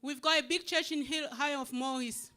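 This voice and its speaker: A woman interpreting a sermon line by line, giving quick literal renderings and answering the preacher's calls with amen. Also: high voice, steady tone, bright, forceful tone. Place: church hall.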